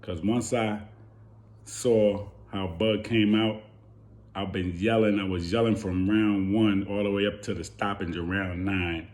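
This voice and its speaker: Hoarse man ranting about boxing. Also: lowish voice, steady tone, a little slow, a little mellow. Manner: heated, repeating rhetorical questions. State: hoarse from yelling. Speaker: boxing channel host. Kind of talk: animated boxing commentary rant.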